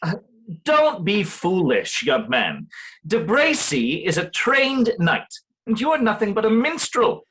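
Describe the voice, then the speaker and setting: A man as husky, slow, condescending elder figure. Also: high-pitched, huge pitch swings, a bit bright, exaggerated voice. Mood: patronising. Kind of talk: radio play acting.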